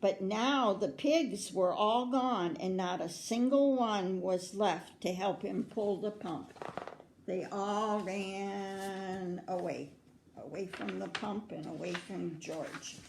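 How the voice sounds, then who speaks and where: An older woman with an American accent reads a picture book aloud to children in a grandmotherly way, pausing to put questions to her young listeners. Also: medium pitch, vocal fry, a little mellow, slight background noise. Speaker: children's story reader. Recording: roomy, echoing indoor sound.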